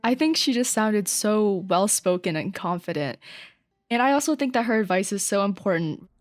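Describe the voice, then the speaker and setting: A woman's voice: medium pitch, lively intonation, moderate pace, soft background music. A teenage girl hosting a student podcast, introducing stories in an upbeat, admiring way. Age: teen.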